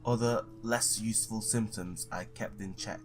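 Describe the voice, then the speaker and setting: Hard-boiled male ex-cop detective for a visual novel, read by a man, lower register, wry, game music clearly behind. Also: fairly even intonation, moderate pace, natural voice. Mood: brooding narration.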